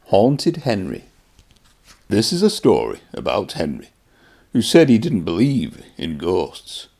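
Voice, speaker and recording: A man narrates a children's storybook in a mellow voice with a touch of gravel. His pitch moves up and down in an animated way, shaped for young listeners. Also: slow pace. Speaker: children's read-aloud presenter and narrator.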